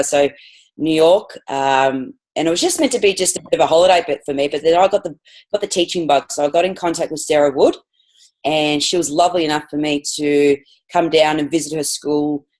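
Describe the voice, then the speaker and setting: A woman's voice: deep voice, darkish tone. An Australian woman, a physical education teacher, chatting as a guest on a podcast, enthusiastic and rambling with lots of restarts. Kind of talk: casual podcast interview.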